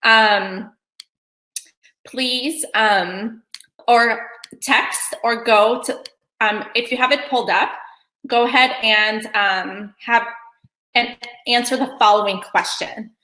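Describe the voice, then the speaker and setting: A woman's voice: fairly high pitch, fairly even intonation, takes it slowly, pushed and bright, echoey room, low-quality recording. A woman from Kosovo whose first language is Albanian, hosting an online meeting in a chatty way with many ums and restarts. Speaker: event host. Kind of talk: casual online meeting chat.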